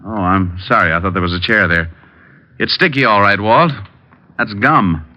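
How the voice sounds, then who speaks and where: An actor playing a cool, wisecracking private detective with a dry, needling manner, in 1940s radio style. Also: male voice, lowish voice, normal speed, natural voice. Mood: dry accusing. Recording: dull vintage radio recording, music behind.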